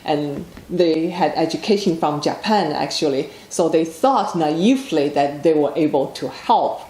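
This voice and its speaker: A woman's voice: deep voice, measured pace, dark tone, reverberant, rough recording. A woman from China with a Chinese accent, an author answering interview questions in a plain, matter-of-fact way.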